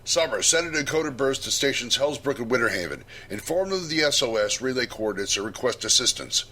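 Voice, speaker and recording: A man's voice: medium pitch, lively intonation, brisk, pushed and bright. A man playing a commanding starship captain giving firm orders in a sci-fi audio drama.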